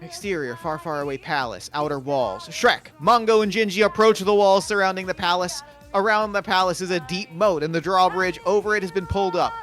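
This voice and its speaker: A man reads screenplay stage directions in a brisk, matter-of-fact way. His voice is bright and a bit high for a man. Faint music plays underneath. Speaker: narrator.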